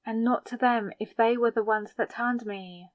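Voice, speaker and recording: A woman playing a newly turned vampire, sarcastic and rattled, in a conversational, exasperated audio roleplay with hesitant pauses. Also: fairly high pitch, fairly even intonation, dry recording, close to an everyday voice. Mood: incredulous, upset. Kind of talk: scripted ASMR audio roleplay.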